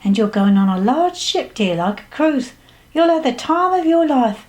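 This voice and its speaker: A woman's voice: high voice, very animated, moderate pace, darkish tone, pitched above the performer's own voice. A woman voicing a blunt, theatrical older woman psychic who pronounces fortunes colloquially in a storybook reading. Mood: excited. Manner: colloquial, pronouncing, calls listeners dear.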